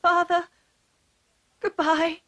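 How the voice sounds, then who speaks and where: A woman's voice: extremely high pitch, flat delivery, extremely slow, fairly bright tone, heavily acted. A woman voicing a naive, kind young man in a children's cartoon, hesitant, earnest and easily astonished. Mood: worried, grieving. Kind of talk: dubbed children's cartoon acting.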